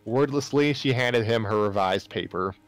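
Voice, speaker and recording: A man's voice: lowish voice, moderate pace. A man narrating a story aloud live, sometimes stumbling and rereading phrases. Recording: music playing behind the voice.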